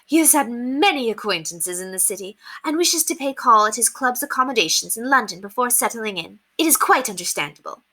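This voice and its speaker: A woman's voice: on the high side, expressive, brisk, hardly any pauses, sharp tone, pitched above the performer's own voice. A woman voicing a spoiled lady with exaggerated merriness, as if holding back tears. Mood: forced cheer.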